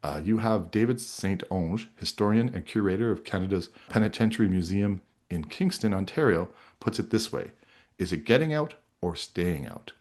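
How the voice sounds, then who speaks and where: A man's voice: low-pitched, lively intonation, moderate pace, a little mellow. A man hosting a podcast interview, moving between introductions and conversational questions.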